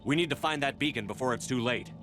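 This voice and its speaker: A man's voice: medium pitch, quick pace, music in the background, close to an everyday voice. A man playing a stern, commanding space soldier in a video game, terse and businesslike as he questions people. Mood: urgent. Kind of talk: cinematic sci-fi game dialogue. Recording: faint background noise.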